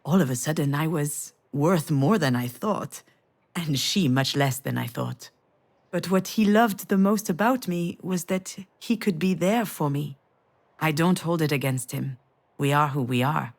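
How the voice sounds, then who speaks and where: Low-voiced wistful, wounded woman. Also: measured pace. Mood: reflective, solemn. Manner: reflective, intimate, confessional. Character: wistful, wounded adult woman parting from her ex. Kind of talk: naturalistic visual novel voice acting.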